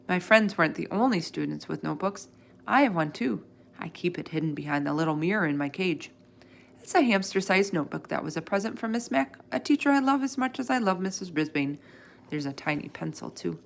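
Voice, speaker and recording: A woman reading a children's storybook aloud in an expressive, animated way, acting out the dialogue. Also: fairly low pitch, brisk, gravelly. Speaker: storybook reader.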